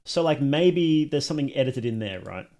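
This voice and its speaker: A man with a mid-pitched voice gives casual livestream commentary as host. He thinks aloud and addresses his viewers informally, swearing mildly now and then. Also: animated, moderate pace, background noise. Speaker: livestream host reacting to and judging short films.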